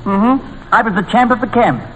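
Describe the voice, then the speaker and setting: A man playing a cheerful, boastful, simple-minded gentleman panelist in 1940s radio comedy, chatty and pleased with himself. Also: high-pitched, expressive, fairly quick. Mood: jolly, boastful. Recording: very noisy old radio recording.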